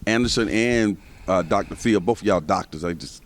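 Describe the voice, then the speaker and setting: A man with a fairly low voice moderates a TV panel discussion. He asks questions in an easy, casual and conversational way. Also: moderate pace, slight background noise. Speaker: TV host and moderator.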